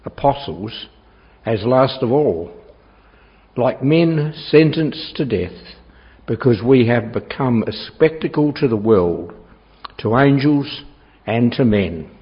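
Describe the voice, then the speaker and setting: A man reading scripture aloud in a measured, solemn way. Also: mid-range voice, lively intonation. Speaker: scripture reader. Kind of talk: Bible reading. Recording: dull lo-fi church recording.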